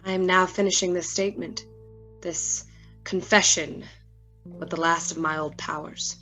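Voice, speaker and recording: A woman acting a doomed, despairing male scientist giving a solemn, measured final confession in a gothic radio drama. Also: lowish voice, fairly even intonation, poor audio. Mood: despairing, resigned. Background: loud music.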